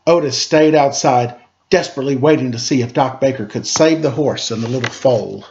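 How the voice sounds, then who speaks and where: A man narrates a children's storybook with dramatic, expressive delivery. His voice sits at an ordinary male pitch. The recording is dull and lo-fi. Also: a little fast, a little hiss or hum. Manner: dramatic read-aloud, acting out sound effects and dialogue. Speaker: storyteller reading a children's book aloud.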